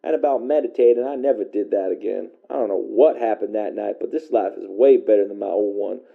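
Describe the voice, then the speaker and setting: A man playing a weary working-class caller on a radio call-in drama, telling an eerie first-person story in a folksy, halting way through a narrow radio filter. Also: lowish voice, gravelly. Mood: relieved, reflective. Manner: folksy, colloquial, halting, hesitant delivery. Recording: narrow tinny phone-like radio sound.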